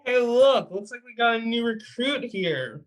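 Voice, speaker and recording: A man's voice: very high-pitched, animated, unhurried. A man playing a chatty, teasing young superhero in an amateur table read, with casual delivery. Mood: mocking.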